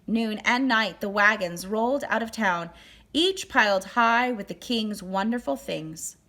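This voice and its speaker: Female storybook narrator, medium pitch, expressive read-aloud, deliberate phrasing. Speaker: storybook reader. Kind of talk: expressive scripted read-aloud of a children's picture book.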